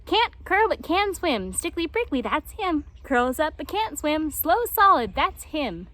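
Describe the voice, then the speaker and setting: A woman's voice: sits high, big pitch swings, unhurried, a bit bright, voice pushed up, exaggerated voice. A woman voicing a childish, bewildered young male jaguar in a storybook, whiny and plaintive with a singsong way of talking. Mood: singsong, muddled.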